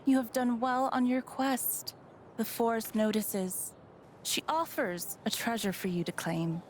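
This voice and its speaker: A woman's voice: on the high side, measured pace, slight background noise, lightly acted. A woman voicing a benevolent forest spirit in a video game, speaking in a grand, solemn, theatrical manner. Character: stately, benevolent forest spirit greeting a young hero.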